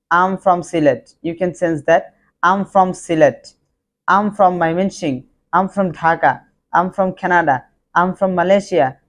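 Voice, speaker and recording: Guy, bengali speaker, teaching basic english online, repeats each example sentence over and over. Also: sits high. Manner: repeats example sentences in drills, simple phrasing. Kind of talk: online language lesson.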